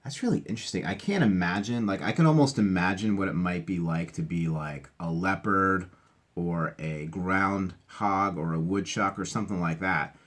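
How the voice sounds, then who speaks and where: A man hosting a read-aloud for children, speaking in chatty, expressive asides straight to his young listeners. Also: low voice, moderate pace.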